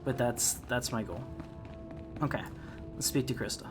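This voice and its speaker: A man's voice: medium pitch, normal speed, music in the background. A man hosting a gaming video with casual, conversational playthrough commentary. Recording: clear noise behind the voice.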